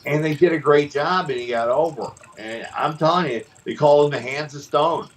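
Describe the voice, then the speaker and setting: A middle-aged man and former wrestler hosting a podcast, telling stories in a rambling, animated way with lots of restarts. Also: a little high, a little fast. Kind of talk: casual storytelling chat.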